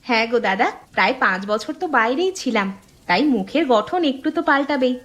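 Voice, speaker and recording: A woman's voice: a little high, animated, fast, a bit bright. A woman voicing a dutiful young elder daughter in a village cartoon, polite and explanatory. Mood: friendly. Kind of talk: cartoon dialogue acting.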